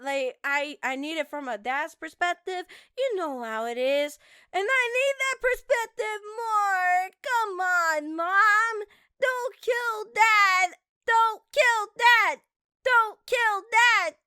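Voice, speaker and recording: A woman voicing an excitable, chatty little girl who adores her father, in a childish, dramatic way for an anime audio drama. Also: very high voice, takes it slowly, dry recording, clean studio sound, heavily acted. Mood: dramatic pleading. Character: excitable chatty little girl, adoring daughter pleading for playtime. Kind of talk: anime audio roleplay acting.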